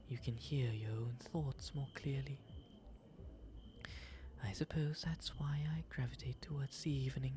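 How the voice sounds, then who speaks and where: Refined owl demon gentleman, played by a man, intimate ASMR roleplay: soft breathy delivery, unhurried and eloquent, noticeable background noise. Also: mid-range voice, close to an everyday voice. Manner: genteel, eloquent, unhurried phrasing.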